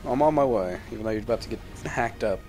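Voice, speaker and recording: A man's voice: mid-range voice, expressive, quick pace, darkish tone, faint music behind. A young man giving casual, sarcastic and sweary commentary while playing a video game. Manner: sarcastic, profane running commentary. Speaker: gaming video host.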